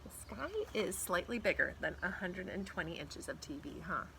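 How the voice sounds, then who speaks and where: A woman's voice: on the low side, expressive, moderate pace, gravelly, sharp tone. A middle-aged American woman reading a children's picture book aloud outdoors, expressively drawing out words and adding asides for young listeners. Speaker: teacher reading aloud to pupils. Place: outdoors in a backyard patio. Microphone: camera a few feet away. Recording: faint outdoor background noise.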